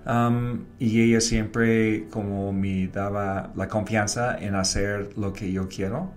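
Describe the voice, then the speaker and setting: A man's voice: fairly low pitch, fairly even intonation, slow pace, a little mellow. A man who grew up in Wales, a native English speaker with a British accent, answering interview questions in non-native Spanish with short declarative sentences and the odd English word. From Wales, Britain. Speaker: guest, advertising creative and professor. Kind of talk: reflective interview conversation.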